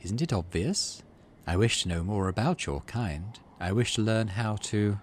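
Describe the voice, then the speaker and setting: A low-voiced alien man from a sci-fi game, acted out by a man, speaks with courteous formality and gentle amusement. His phrasing is measured and secretive, over clear background noise. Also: expressive. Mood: placating, earnest. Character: polite, mirthful male alien, formal and secretive.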